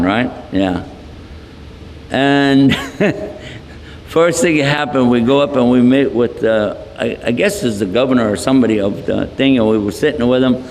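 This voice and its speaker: A man's voice: medium pitch, a little mellow, slight background noise. Folksy Texan man lecturing in a hall. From Texas. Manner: folksy, joking, storytelling with asides. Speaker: Islamic lecturer. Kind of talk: humorous public lecture. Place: large multipurpose hall. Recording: reverberant hall from a distance.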